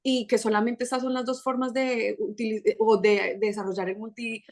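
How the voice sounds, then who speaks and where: A Colombian-accented woman speaking as a guest on a parenting podcast. Her voice sits on the high side, and she talks in an animated, chatty way, restarting phrases as she goes. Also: normal speed. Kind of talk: casual conversational interview.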